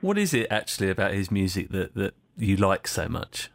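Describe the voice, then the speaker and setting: A man hosting a casual radio interview, friendly and quick to laugh along with his guest. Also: sits low, big pitch swings, creaky. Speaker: radio host.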